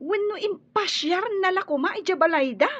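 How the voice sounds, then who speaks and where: An actress with an Ilocano accent playing a nosy, excitable young woman friend in a radio drama. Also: extremely high pitch, normal speed, highs cut off. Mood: surprised, indignant.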